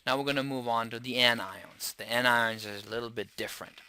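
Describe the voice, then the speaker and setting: A man's voice: mid-range voice, steady tone, a little fast, pushed and bright, a little hiss or hum. A man with a German accent giving a chemistry lecture, explaining step by step, spelling out word endings and restarting phrases as he goes. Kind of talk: classroom lecture.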